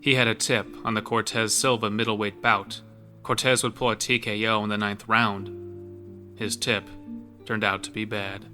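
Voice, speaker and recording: Low, forceful male horror narrator. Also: flat delivery, normal speed, soft background music. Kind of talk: scripted horror story narration.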